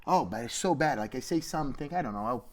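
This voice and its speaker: A man's voice: mid-range voice, expressive, quick pace. A middle-aged man from Brazil with a Brazilian Portuguese accent, a jiu-jitsu instructor chatting playfully in broken English. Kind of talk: casual chat.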